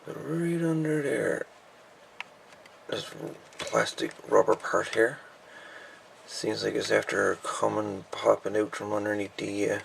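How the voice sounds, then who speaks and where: A man giving a casual, unhurried, folksy hands-on repair narration, thinking aloud as he goes. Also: lowish voice, steady tone, creaky, a little hiss or hum. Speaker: hobbyist radio repairer presenting a video.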